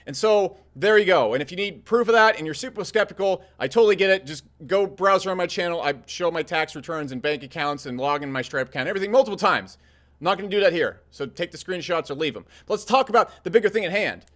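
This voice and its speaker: A man's voice: sits high, expressive, fast talker, fairly bright tone. A man in his thirties giving blunt, profanity-laced business advice straight to camera. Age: 30s. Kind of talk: casual direct-to-camera advice talk.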